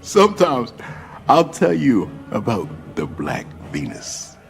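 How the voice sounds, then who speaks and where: A man's voice: mid-range voice, huge pitch swings, creaky, over music, full character voice. A man acting a laid-back, mischievous, worldly friend in a radio drama, telling things in a wry, colloquial way. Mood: wry, amused. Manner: unhurried, wry, chuckling, colloquial.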